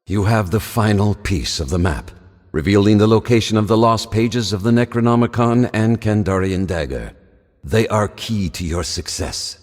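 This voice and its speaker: A man's voice: lowish voice, moderate pace, natural voice. A male actor playing a grave, scholarly professor who narrates a video game in a solemn, formal way. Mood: solemn, urgent. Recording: long echoing reverb.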